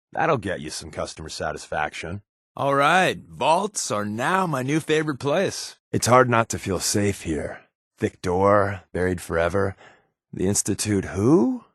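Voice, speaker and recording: An actor playing a wisecracking, sardonic undercover companion in a video game, talking in a glib, deadpan way. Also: male voice, mid-range voice, dry recording, studio quality, heavily acted. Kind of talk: game voice lines, dry quips.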